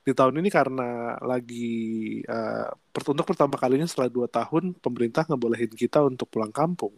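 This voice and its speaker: A man's voice: medium pitch, a little fast, no echo. Podcast co-host: young Jakarta man, slightly nasal, loose and slangy, dry close recording. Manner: relaxed slangy Jakarta chatter with frequent fillers. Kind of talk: casual chat.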